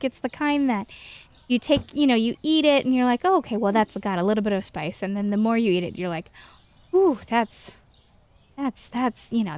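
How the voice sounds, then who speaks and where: An American woman with a medium-pitched voice hosts a gaming video. She talks in a casual, chatty way, wandering through personal stories and poking fun at herself as she goes. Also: a little mellow. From Texas, United States. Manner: rambling, self-deprecating storytelling. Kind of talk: casual chatty gameplay commentary.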